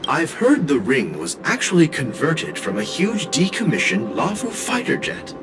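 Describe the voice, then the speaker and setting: A man's voice: a little high, huge pitch swings, moderate pace, music in the background. A man playing an eager, friendly young tourist merchant in an anime-style video game. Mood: friendly, curious.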